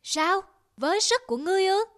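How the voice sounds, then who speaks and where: A woman voicing a big, boastful, bullying male eagle in an animated fable, arrogant and sneering. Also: very high-pitched, a little fast, very noisy. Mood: scornful. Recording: loud music behind the voice. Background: music.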